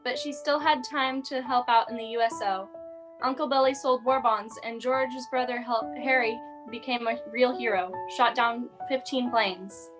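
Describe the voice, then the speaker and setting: Knowing heavenly narrator played by a young woman, measured, higher female voice, radio play with clear music behind. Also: fairly even intonation, natural voice. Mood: matter-of-fact, solemn. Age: young adult. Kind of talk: storytelling in a 1940s-style radio drama.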